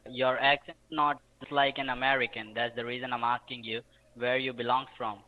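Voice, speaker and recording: A man's voice: medium pitch, level tone, moderate pace. A man posing as an official on a phone call, asking questions insistently in broken, ungrammatical English.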